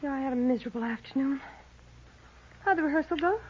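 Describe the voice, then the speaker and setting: Defiant, evasive femme fatale, played by an actress, 1950s radio drama. High, animated, indignant voice, muffled vintage sound. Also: female voice, fast, background noise, close to an everyday voice. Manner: sharp, indignant. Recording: dull 1950s radio recording.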